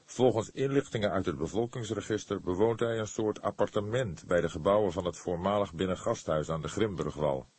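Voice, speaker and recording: A man voicing a patient, seasoned senior police detective in an audiobook, speaking in a measured, earnest way. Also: low voice, darkish tone, slightly rough. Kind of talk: audiobook character dialogue.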